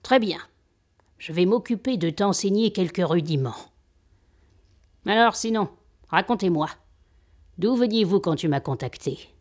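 A woman playing a kindly old master and healer in an audio drama, attentive and gently authoritative. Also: on the low side, animated, a little slow, pauses often. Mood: welcoming, caring.

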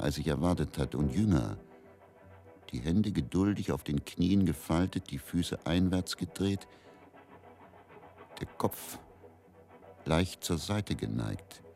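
A haunted, resigned man in a radio drama monologue. His voice is extremely low and moves slowly, with deliberate pauses. Music sits faintly behind him. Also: mellow, heavily acted. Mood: grave, measured. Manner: measured, deliberate, pausing. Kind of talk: slow first-person dramatic monologue.

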